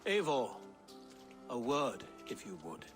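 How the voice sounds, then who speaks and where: An actor playing an earnest middle-aged would-be king in a game, formal and measured. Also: mid-range voice, very animated, fairly bright tone, soft background music. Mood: grave.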